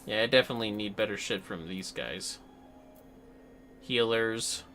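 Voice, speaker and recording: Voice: medium pitch, unhurried, fairly bright tone, soft background music. A streamer with an androgynous voice chatting casually and playfully while playing a game, muttering to themselves and swearing freely. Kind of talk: casual livestream gaming commentary.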